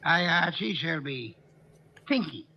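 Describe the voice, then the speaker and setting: A pompous armchair detective, precise, sardonic, gravelly, muffled vintage radio recording. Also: male voice, fairly high pitch, expressive, slow pace, exaggerated voice. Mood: dry. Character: fat, pompous, sedentary male genius detective. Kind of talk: 1940s radio acting. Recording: dull old radio recording.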